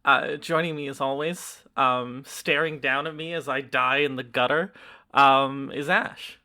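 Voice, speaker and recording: Podcast host rambling thru the intro, joking around, bright voice. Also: mid-range voice, fairly even intonation, a little slow. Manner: joking, self-deprecating, rambling. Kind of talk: casual chat.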